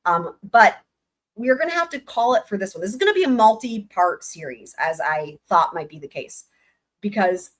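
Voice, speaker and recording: A woman hosting a casual livestream, excited and rambling, her voice going hoarse. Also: mid-range voice, moderate pace. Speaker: livestream host.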